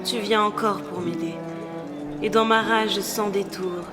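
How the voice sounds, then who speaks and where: A woman's voice: medium pitch, steady tone, a little slow, over loud music, natural voice. An actress playing a proud, remorseful elf queen and mother with a solemn, theatrical manner in a fantasy audio drama. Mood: ashamed, remorseful.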